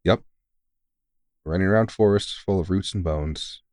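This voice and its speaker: A man playing a kindly, tired veteran vampire hunter in an intimate audio roleplay, reassuring a rookie in an informal, gently teasing and sweary way. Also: deep voice, unhurried, no room sound, clean studio sound. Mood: encouraging, wry.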